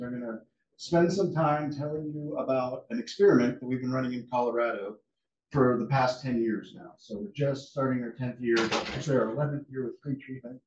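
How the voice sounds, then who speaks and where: A man gives a relaxed academic seminar, pausing often with fillers and restarting his sentences. He sounds set back from the mic in a roomy lecture hall. The recording is a little muffled. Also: mid-range voice, a little hiss or hum. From United States. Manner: casual, halting, many fillers and restarts. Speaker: guest speaker, rangeland scientist. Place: lecture room. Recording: echoey room audio from a distance, dull.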